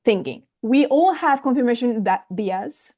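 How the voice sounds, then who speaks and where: High-voiced woman with a strong French accent. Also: lively intonation, unhurried, warm tone. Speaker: volunteer timekeeper at a group meeting. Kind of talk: reading a prepared announcement aloud. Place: online video call.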